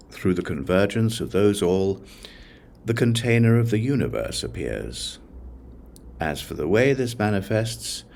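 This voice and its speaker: Male narrator for sacred-text audiobook: low, gravelly, measured reading with varied intonation, faint background noise. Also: a little mellow.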